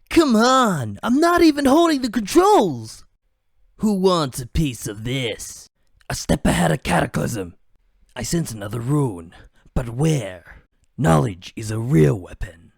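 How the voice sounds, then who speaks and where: A man's voice: a little high, big pitch swings, takes it slowly, vocal fry, dry recording, clean studio sound. A man doing amateur voice acting of game character lines, theatrical and changing from one character voice to another.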